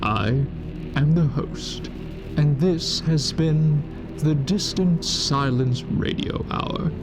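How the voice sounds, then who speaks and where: A man plays an eerie host who speaks from a drowned city of the dead, slow and gravelly, with courtly menace. Music swells beneath his measured farewell. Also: a little high. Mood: suave. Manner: slow, suave, menacing, formal address. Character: sinister ancient male host of a sunken necropolis. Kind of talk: radio drama narration. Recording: spooky music bed.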